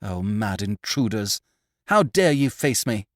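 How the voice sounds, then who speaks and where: A man's voice: lowish voice, big pitch swings, unhurried, dry recording, studio quality. A man voicing a pompous, melodramatic young hero who declaims in a grand manner. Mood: grandiose.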